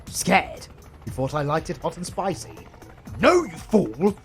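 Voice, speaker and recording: A man's voice: fairly high pitch, sing-song, a little slow, faint music behind. A British man playing a theatrical supervillain in a romantic comedy audio roleplay, swinging between menace and exasperation at the heroine he is smitten with. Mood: exasperated, panicked. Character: flustered theatrical male supervillain, smitten with his heroine rival.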